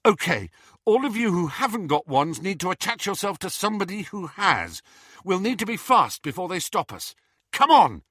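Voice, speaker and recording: A man voicing a decisive young wizard hero in an audiobook, brisk and commanding as he takes charge. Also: high voice, sing-song, a bit bright, husky, dry recording, studio quality, voice pushed up, exaggerated voice. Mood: urgent command.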